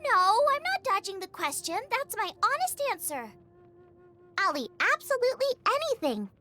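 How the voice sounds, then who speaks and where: An eager teenage girl cook from a video game, her voice extremely high and swooping with animation. She speaks with perky, earnest enthusiasm, and music plays underneath. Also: very animated, normal speed, sharp tone, full character voice. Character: bubbly, eager teenage girl chef and adventurer. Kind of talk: scripted video game character voice lines.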